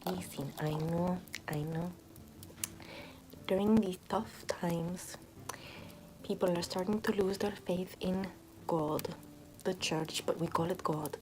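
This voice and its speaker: Woman acting as low-voiced, hushed mercenary nun. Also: fairly even intonation, slow, pauses often, background noise. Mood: hushed, confiding. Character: mercenary medieval nun tending a plague patient. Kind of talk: whispered, soft-spoken ASMR roleplay.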